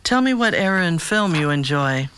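Actress as a measured, probing therapist, closely recorded. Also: female voice, on the low side, sing-song, a bit bright, smooth, no room sound. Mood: calm, curious. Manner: measured, formal, questioning. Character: calm, probing, patient therapist. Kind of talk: audio drama dialogue.

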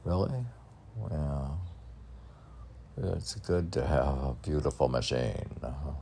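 A man casually reading poetry and chatting about it in a halting, offhand way. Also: rumbling low, creaky, dark tone, slight background noise.

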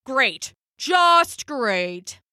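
A woman's voice: sits high, very animated, drawn-out words, bright, forceful tone, no echo, clean studio sound, voice pushed up, exaggerated voice. A woman doing a sulky grumbling ox. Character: grumpy weary ox, male, big and slow.

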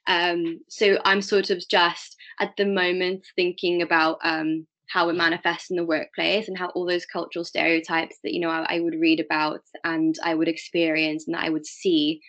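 Bright-voiced young woman from Malta. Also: lowish voice, lo-fi sound. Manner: hesitant, many fillers and restarts. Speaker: guest, diversity and inclusion manager. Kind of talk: casual interview talk.

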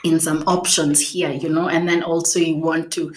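A woman's voice: low-pitched, flat delivery, normal speed. A young woman whose native language is Swahili, hosting a casual solo podcast, chatty and playful with frequent laughs, fillers and restarts. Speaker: podcast host.

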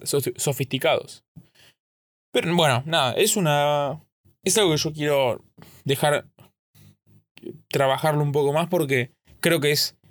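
A man's voice: fairly high pitch, dry recording, studio quality. A man from Argentina with an Argentine accent, recording a casual solo podcast, thinking aloud in a rambling way and often correcting himself mid-sentence. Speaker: podcast host.

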